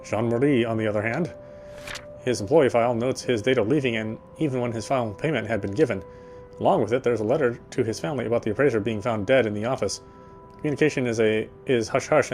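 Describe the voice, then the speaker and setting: Guy voicing a tired sharp detective narrating a horror game, fast wry inner voice, soft music behind. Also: mid-range voice, lightly acted. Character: weary sharp-eyed male city detective. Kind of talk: first-person game narration.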